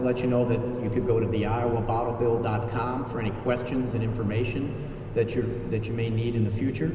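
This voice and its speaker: A man introduces a speaker and answers questions at a press event, in an upbeat, promotional tone with some fillers. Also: lowish voice. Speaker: event organizer and emcee. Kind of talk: press conference remarks. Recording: very echoey hall, distant mic, background noise.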